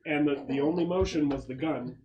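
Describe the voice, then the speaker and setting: A man's voice: fairly high pitch, a little fast, dull audio, a crowd in the background. A man speaking casually as game master, narrating a tabletop roleplaying game to his players. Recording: noisy room with clicking sounds.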